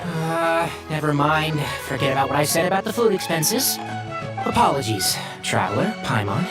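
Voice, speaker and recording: A man playing a brisk, responsible young expert in a video game, explaining things politely. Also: fairly high pitch, wildly swooping pitch, vocal fry, exaggerated voice. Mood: flustered, concerned. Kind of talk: video game character acting. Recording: music behind the voice.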